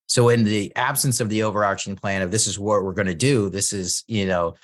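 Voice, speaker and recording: A male host talks in an agreeable, conversational way and builds on what his guest has said. His voice sits on the low side. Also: steady tone, fairly quick. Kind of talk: conversational interview.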